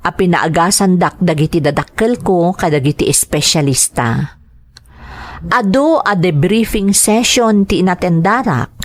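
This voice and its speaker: An actress with an Ilocano accent playing a sober young woman, a survivor, in a radio drama. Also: lowish voice. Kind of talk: Filipino radio drama acting.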